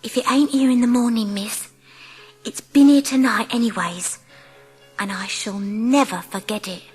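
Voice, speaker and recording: A woman voicing a humble, grateful young scullery maid, hushed and earnest, in a dramatised audiobook. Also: on the high side, normal speed, slightly rough, faint music behind. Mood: grateful awe.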